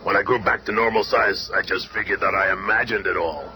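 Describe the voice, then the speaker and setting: An actor playing a gruff, grumbling blue-collar tough-guy monster superhero in a 1970s radio play. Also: low voice, fairly even intonation, fairly quick, over music, natural voice. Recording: very noisy old radio recording.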